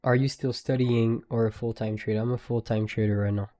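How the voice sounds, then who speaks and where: A man's voice: medium pitch, flat delivery, brisk, mellow. A young man in his twenties from Quebec with a Quebec French accent, a native French speaker, chatting casually and slangily with viewers on a live stream. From Quebec, Canada. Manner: loose, slangy, laughs easily. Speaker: day trader live streamer.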